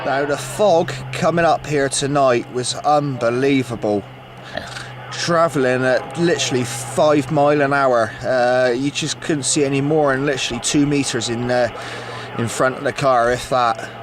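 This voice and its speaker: A man's voice: mid-range voice, steady tone, measured pace. Man on very noisy CB radio. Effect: CB radio transmission. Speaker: CB radio operator. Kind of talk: radio check-in chat. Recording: very noisy distant CB radio capture.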